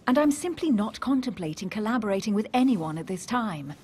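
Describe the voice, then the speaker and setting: A woman's voice: mid-range voice, big pitch swings, a little fast, darkish tone, a little hiss or hum, close to an everyday voice. An actress playing a guarded, witty, self-possessed woman of a secret society in a video game, composed and articulate. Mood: cool, defiant. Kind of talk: dramatic video game dialogue. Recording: music under the voice.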